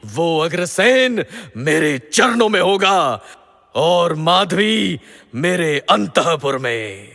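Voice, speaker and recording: A man's voice: on the high side, big pitch swings, slow, gravelly, sharp tone, exaggerated voice. An actor playing an arrogant, vengeful king of the gods in a mythological audio drama, menacing and boastful. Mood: furious, vengeful. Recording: long reverberant hall echo.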